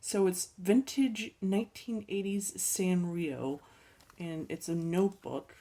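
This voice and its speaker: A woman casually showing off her thrift store finds, unhurried and chatty with ums and little laughs, her voice a bit worn as she is losing it. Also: on the low side, mellow, distant mic. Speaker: collecting channel host. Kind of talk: casual thrift haul chat.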